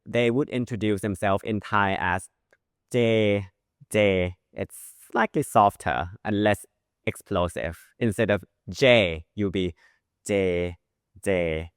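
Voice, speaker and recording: A man teaching a language lesson who says sounds slowly and repeats them as examples. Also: lowish voice, smooth, no room sound, studio quality. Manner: modeling pronunciation with drawn-out sounds.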